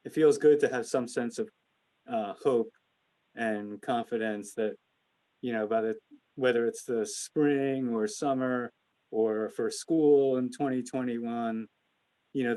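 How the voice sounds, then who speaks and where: Man, relaxed mellow tone, mid pitch, conference call host, halting hedged phrasing, conversational. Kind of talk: unscripted conference call remarks.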